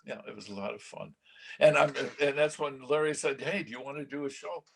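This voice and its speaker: An older American man with a husky voice, reminiscing casually as a veteran broadcaster in a halting, rambling, animated way. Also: medium pitch, a little hiss or hum. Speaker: veteran radio broadcaster, guest. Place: remote video call.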